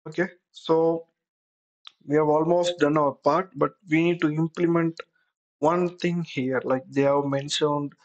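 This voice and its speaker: A software tutor giving an unscripted screen-recorded tutorial, explaining step by step while thinking aloud. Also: mid-range voice, fairly even intonation, unhurried, dull audio.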